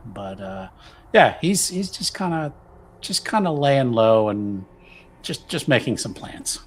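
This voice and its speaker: A man's voice: medium pitch, animated. Male game player, medium voice, casual improvised delivery that circles and repeats, slight background noise. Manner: halting, repetitive, conversational. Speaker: tabletop RPG player. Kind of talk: casual improvised character planning.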